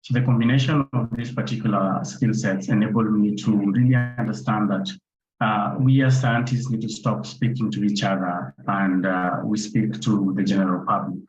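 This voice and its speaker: A man with a lowish voice gives an informal online talk as a guest speaker. His delivery is halting, and the sound is echoey and dull, like a lo-fi call. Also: fairly even intonation, a little mellow. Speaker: guest speaker, photographer and ecologist.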